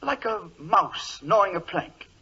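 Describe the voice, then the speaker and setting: A man playing an anxious, excitable English government clerk in a 1940s radio drama, overwrought and stammering, with a British accent. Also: high-pitched, slow pace, exaggerated voice. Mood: earnest, nervous. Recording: dull, lo-fi old radio recording.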